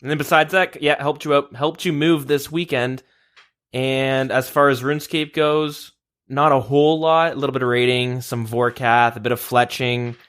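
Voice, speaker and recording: A young man hosting a podcast in a relaxed way, leading the talk and reading out news. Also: mid-range voice, moderate pace, smooth. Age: young adult. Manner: steady, runs the show and leads topics. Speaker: podcast host. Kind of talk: casual chat, reading news. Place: shared room, two mics.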